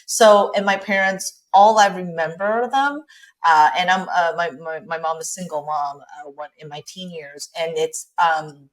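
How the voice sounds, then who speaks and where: A woman's voice: on the low side, steady tone, unhurried, crowd noise, poor audio. A woman in her thirties with an American accent, born in Taiwan, chatting candidly as a podcast guest with many fillers and restarts. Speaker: guest entrepreneur. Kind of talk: casual interview chat.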